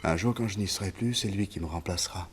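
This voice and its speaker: Radio play role,: haunted, devoted, anxious man with a deep voice, earnest and confiding. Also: fast, slightly rough. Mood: tender, solemn. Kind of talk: French radio play acting.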